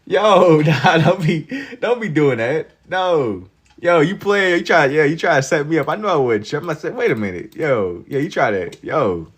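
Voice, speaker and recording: A man in his twenties hosting a livestream, chatting casually with viewers in laid-back slang and getting loud and laughing when teased. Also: on the high side, lively intonation, normal speed, slightly rough. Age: 20s. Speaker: livestream host. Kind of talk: casual chat with viewers while drawing.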